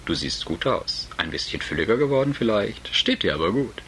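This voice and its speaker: Guy doing a smooth classy uncle, low and easy, bright tone. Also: brisk, a little hiss or hum. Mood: calm. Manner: calm, polished, smooth-talking. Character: suave, elegant man in his late thirties.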